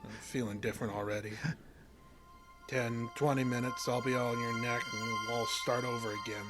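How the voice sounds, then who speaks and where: An actor playing a tormented but resolute fishing guide with a folksy, plain-spoken manner in a horror audio drama. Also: male voice, medium pitch, flat delivery, normal speed, loud music behind the voice, lightly acted. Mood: desperate.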